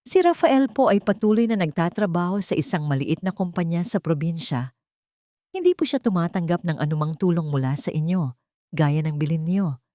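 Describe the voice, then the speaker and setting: A woman voicing a gentle, respectful elderly male family caretaker in an audiobook drama. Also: fairly low pitch, lively intonation, moderate pace, no room sound, clean studio sound, lightly acted. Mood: reporting. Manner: respectful, mild.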